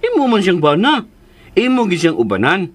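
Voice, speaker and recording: A good-natured household helper with a raspy voice that sits slightly high. His delivery bounces up and down, colloquial and teasing, in radio drama style. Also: huge pitch swings, normal speed, full character voice. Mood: exasperated. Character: loyal, humble household helper, teasing uncle figure.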